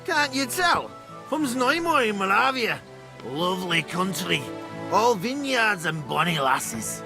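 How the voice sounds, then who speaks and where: An actor playing a wry, chatty roving young nobleman with a Scottish accent and a creaky voice in a medieval game. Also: high voice, big pitch swings, measured pace, exaggerated voice. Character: breezy, roving young Moravian nobleman, man. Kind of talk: video game dialogue acting. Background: music.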